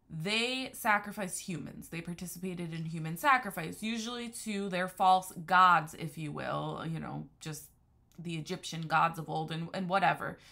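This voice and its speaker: Earnest woman, low and bright. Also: gravelly. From New Haven, Connecticut area, USA. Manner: earnest, discursive, restarts often. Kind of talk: unscripted religious monologue.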